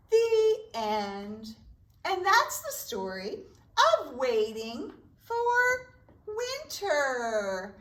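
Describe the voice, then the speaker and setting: A woman leading a preschool storytime, speaking deliberately and expressively for young children, recorded with a roomy echo from a distance. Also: high voice, bright, forceful tone. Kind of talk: preschool storytime with story and sing-song rhyme.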